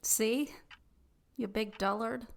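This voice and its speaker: An actress reading a sharp-tongued, nagging older aunt in a radio play, talking in a tart, scolding way. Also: female voice, on the high side, fairly even intonation, slow pace, gravelly.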